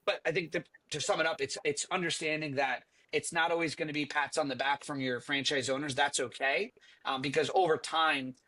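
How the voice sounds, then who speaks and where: A man's voice: medium pitch, sharp tone. A middle-aged man, a company chief executive, answering on a business panel in an earnest, conversational way with frequent fillers and restarts. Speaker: franchise company CEO.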